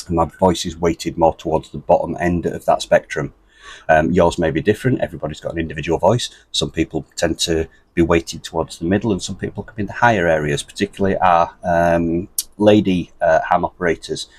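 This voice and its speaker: A British man presenting a step-by-step ham radio tutorial in a deep, bassy voice, steady and methodical with a few ums. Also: a little fast, slightly rough, no echo. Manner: steady, methodical, explains with fillers.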